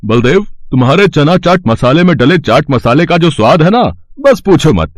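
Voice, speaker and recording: A man voicing an excitable, emphatic village street-food customer in a cartoon moral story. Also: on the high side, normal speed, no echo, clean studio sound. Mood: admiring. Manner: exclamatory, emphatic.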